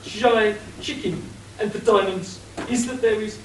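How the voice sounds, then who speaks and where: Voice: mid-range voice, fairly even intonation, unhurried, lightly acted. A performer playing an earnest, methodical police inspector in a comic radio play, formal and patient. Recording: echoey room, distant mic, faint noise.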